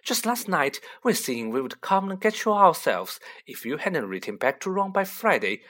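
A narrator voicing a scolding, bustling middle-aged mother witch in an audiobook, fussy but fond, with sharp exclamations. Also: medium pitch, big pitch swings, moderate pace, voice pushed up. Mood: fussing, worried.

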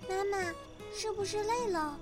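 Little daughter, very high childlike voice, sweet, caring, radio play, faint music. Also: female voice, a little slow, recorded from a distance. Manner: childlike, gentle, considerate. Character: sweet, thoughtful little girl devoted to her mother. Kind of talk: radio drama acting.

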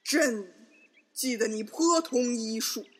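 A woman's voice: on the high side, takes it slowly, breathy edge. A woman playing a stern, imperious male emperor in a Chinese palace radio drama, commanding and clipped. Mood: solemn.

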